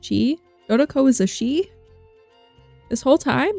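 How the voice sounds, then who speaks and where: A woman's voice: high voice, lively intonation, a little slow, vocal fry, no echo. A young woman giving casual, rambling, playful game commentary as she thinks aloud. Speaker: game streamer. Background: soft game music.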